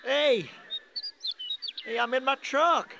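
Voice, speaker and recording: A man's voice: very high-pitched, slow, lo-fi sound, background noise, exaggerated voice. A man playing a plucky, plain-spoken country trucker with a Southern US drawl and blustering manner in a horror-comedy audio drama. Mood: astonished. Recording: echoey, music underneath.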